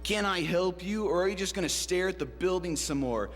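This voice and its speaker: 男性が演じる のんきな怠け者のドアマン、高めの声. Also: male voice, high voice, brisk, fairly bright tone. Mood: laid-back. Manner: casual, nonchalant slacker talk. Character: breezy, unhelpful slacker doorman, young-sounding dude. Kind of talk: comic radio play acting. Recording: echoey hall with music behind.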